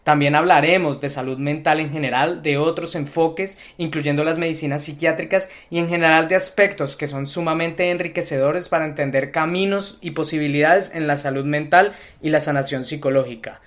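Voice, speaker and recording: A man's voice: a little high, steady tone, fairly quick, few pauses, fairly bright tone. A man speaking as a podcast host and interviewer, articulate and earnest in his delivery. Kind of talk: scripted introduction, then conversational interviewing.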